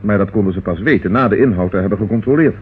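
A man playing a calm, courteous, methodical police inspector in a radio play, measured and probing. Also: lowish voice, slightly rough. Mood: businesslike. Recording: noisy, tinny old radio recording.

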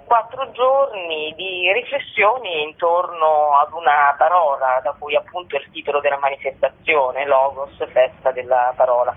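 Articulate woman on tinny phone line. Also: sits low. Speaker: festival curator interviewed as guest. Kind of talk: explanatory radio interview by phone. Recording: tinny narrow telephone line.

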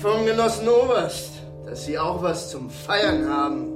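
An actor playing a dutiful East German prison warder in a radio drama, official and evasive. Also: male voice, high voice, expressive, measured pace. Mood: relaying orders. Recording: echoey cell, music behind.

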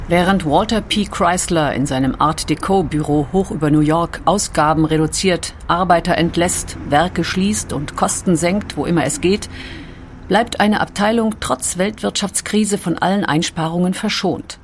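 A woman narrating a documentary with measured, dramatic storytelling. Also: fairly low pitch, slight background noise.

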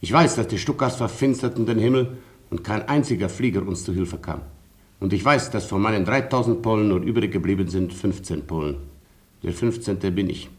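Man playing a deep-voiced, proud colonel. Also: fairly quick, lightly acted. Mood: grave, resigned. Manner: terse, grave, formal. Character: proud, stoic Polish male colonel, gallant and commanding. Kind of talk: radio drama acting.

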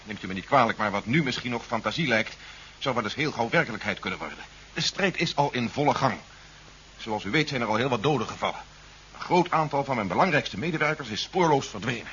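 An actor playing an urgent, commanding older army general in a 1970s science fiction radio play. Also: male voice, medium pitch, expressive, quick pace, fairly bright tone, close to an everyday voice. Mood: grave, insistent. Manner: commanding, urgent, formal with superiors. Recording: muffled, noisy 1970s radio play recording.

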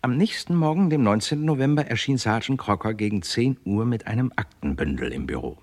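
A man narrating a crime radio play from a script in a deliberate, measured way. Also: medium pitch, expressive, a little mellow.

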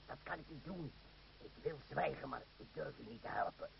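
Timid, gravelly ferryman. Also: fairly high pitch, moderate pace. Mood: nervous, reluctant. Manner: hesitant, stammering, deferential. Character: timid, fearful male ferryman, deferential and reluctant. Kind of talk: old Dutch radio play acting. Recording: noisy, dull old radio play recording.

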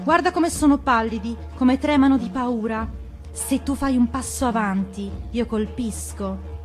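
A woman's voice: fairly high pitch, a little slow, pitched above the performer's own voice, lightly acted. Woman doing a storybook snake widow, threatening and desperate, faint music underneath. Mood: menacing. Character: menacing, desperate widowed female cobra, mother of eggs.

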